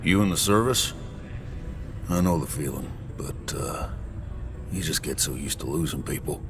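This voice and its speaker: Very deep-voiced weary bartender. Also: male voice, normal speed. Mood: weary, somber. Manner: plainspoken, world-weary, colloquial. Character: weary, wary male Martian frontier bartender. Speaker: bar owner character. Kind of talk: video game cutscene acting.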